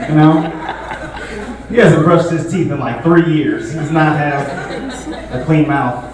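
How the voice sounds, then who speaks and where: Young man doing stand-up in an echoey room. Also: fairly high pitch. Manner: laid-back, conversational, frequent filler words. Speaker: stand-up comedian. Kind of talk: stand-up comedy set. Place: comedy show venue with a live audience. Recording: echoey room, distant mic, audible noise.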